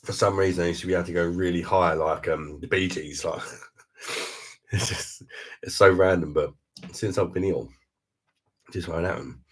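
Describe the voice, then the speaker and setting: Very deep-voiced man chatting casually. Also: fairly even intonation, normal speed, pauses often. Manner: laid-back, chatty, laughs often, swears casually. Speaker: beer review livestream host. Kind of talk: casual live chat reading viewer comments.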